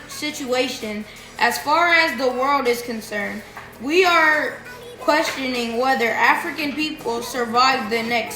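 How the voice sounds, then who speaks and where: A woman reading a speech aloud in a deliberate, halting way. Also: sits high. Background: music.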